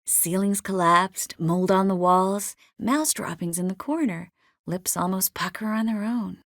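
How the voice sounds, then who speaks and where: A woman playing an adult sorceress in a video game, warm and wry yet resolute, speaking conversationally and often softly. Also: mid-range voice, measured pace, no room sound, clean studio sound. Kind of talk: video game dialogue voice acting.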